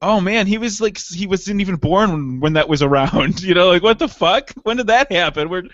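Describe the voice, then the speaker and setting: A young man with a high voice rambles through casual podcast chat, laughing and swearing as he goes. The sound is dry and close. It is also dull and lo-fi. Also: animated, fairly quick, fairly bright tone, no echo. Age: 20s. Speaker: podcast co-host.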